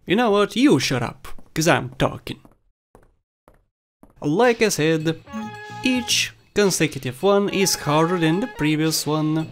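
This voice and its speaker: A man's voice: a little high, animated, takes it slowly. A young man with a Bulgarian accent, whose first language is Bulgarian, giving jokey, rambling commentary over a game walkthrough. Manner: jokey, rambling, laughs at his own jokes. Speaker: gameplay commentator. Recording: faint game music underneath.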